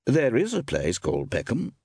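Man playing a courteous gentleman traveller with lively intonation. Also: medium pitch, vocal fry, breathy edge, no room sound, clean studio sound, pitched above the performer's own voice, exaggerated voice. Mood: wry, amused. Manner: polite, measured, well-mannered. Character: courteous, easygoing gentleman traveller, mildly amused.

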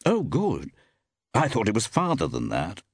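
A man voicing a courteous, easygoing gentleman traveller in an audiobook story, polite and measured in manner. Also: lowish voice, huge pitch swings, breathy edge, no room sound, studio quality, full character voice.

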